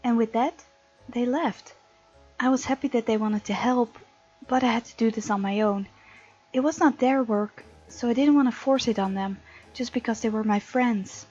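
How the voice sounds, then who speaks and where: A woman's voice: a little high, normal speed, darkish tone, slightly rough, lo-fi sound, soft background music. A woman hosting a gaming let's play, reading aloud and adding chatty, casual asides. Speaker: gaming video host.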